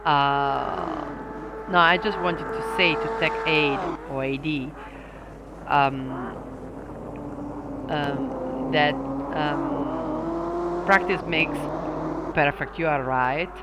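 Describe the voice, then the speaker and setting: A man with an Italian accent chats slowly with his stream viewers in a somewhat high voice, searching for English words. He is self-deprecating and full of ums and restarts. Loud racing-game engines roar under his voice throughout. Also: creaky, heavy background noise. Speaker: sim racing commentator. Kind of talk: casual livestream chat, answering viewer comments. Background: sim race car engines.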